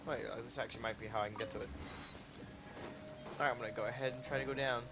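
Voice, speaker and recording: A man's voice: medium pitch, fairly even intonation, rapid-fire, music in the background. A man giving casual gameplay commentary as a streamer, thinking aloud in an informal, sweary way. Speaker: video game streamer.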